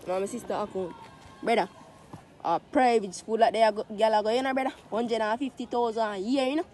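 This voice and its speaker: A young man with a Jamaican accent telling stories casually among friends in animated, sweary slang. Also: high-pitched, a little fast. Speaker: storyteller in a group of friends. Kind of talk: casual group storytelling.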